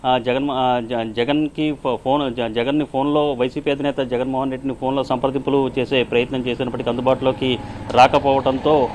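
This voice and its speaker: A man's voice: mid-range voice, level tone, darkish tone, rough recording. A male news correspondent with a mid-range voice reports live without a script. He hesitates often and repeats his words as he goes. There is faint noise behind him on the live line. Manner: halting, repetitive, with many drawn-out hesitations.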